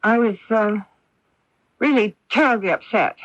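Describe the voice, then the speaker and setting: A dull AM radio recording of an actress playing a middle-aged mother in a radio drama. Her voice is fairly high, and she is genteel, wounded and bitter, with halting, emphatic repetitions that show quiet desperation. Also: no room sound, lightly acted. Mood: guarded. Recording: band-limited 1980s AM radio broadcast.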